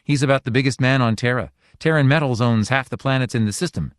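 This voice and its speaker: A man's voice: medium pitch, fast talker, no echo, voice pushed up, close to an everyday voice. A man voicing a nervous young husband who pleads and frets, read dry and close. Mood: gloomy. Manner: nervous, imploring.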